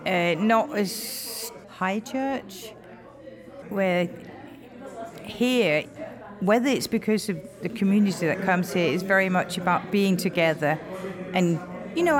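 A woman with a slightly low voice who talks in a relaxed, conversational way and sometimes restarts her sentences. She is interviewed in a church hall, and a crowd chatters clearly behind her. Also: slow pace. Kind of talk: casual interview chat. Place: church hall after a service.

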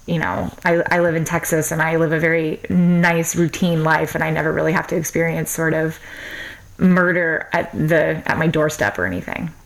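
A woman's voice: sits low, fairly even intonation, normal speed, vocal fry, low-quality recording. A woman hosting a podcast interview, upbeat and enthusiastic, often laughing. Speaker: podcast host. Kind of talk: casual interview chat.

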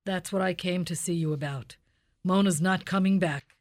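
A woman playing a deadpan, dogged hard-boiled police detective in a comic radio play, speaking in a clipped way. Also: lowish voice, normal speed, close to an everyday voice. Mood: dry, official. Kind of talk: Dragnet-style comic radio play acting.

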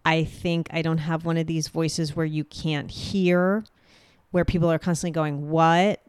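A woman hosting a solo podcast, speaking directly and clearly with a booming voice. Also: level tone, a little slow, darkish tone, no echo, studio quality. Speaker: podcast host.

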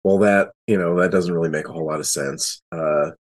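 A man's voice: low voice, steady tone, a little fast. A man talking casually on a podcast panel, explaining things in a chatty way.

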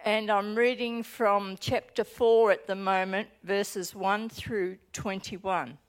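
A woman pastor with an Australian accent giving a sermon and reading scripture aloud, earnest and deliberate, with small hesitations. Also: medium pitch, steady tone, clean tone.

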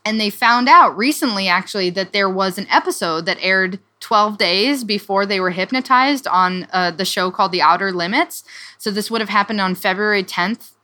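A woman's voice: medium pitch, moderate pace, sharp tone. A young woman co-hosting a podcast, chatting casually as she summarizes a book, with lots of fillers and restarts. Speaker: podcast co-host.

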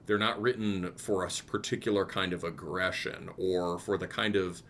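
A man's voice: deep voice, steady tone, moderate pace, fairly bright tone, slight background noise. A man in casual conversation, thinking aloud as he picks apart songs, restarting his sentences with lots of "um"s. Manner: thoughtful, restarts often, many ums, hums riffs. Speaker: podcast co-host discussing music.

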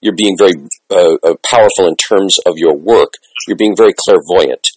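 A man's voice: low-pitched, moderate pace, slightly rough, no echo, low-quality recording. A middle-aged or older man hosting an interview, speaking emphatically and conversationally like a teacher, repeating phrases for weight. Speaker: interviewer, communications and theatre teacher.

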